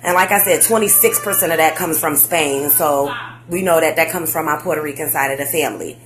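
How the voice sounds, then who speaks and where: Excited young woman chatting with slang. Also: low voice, a little fast, a little hiss or hum, low-quality recording. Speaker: vlogger. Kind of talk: casual facebook live chat.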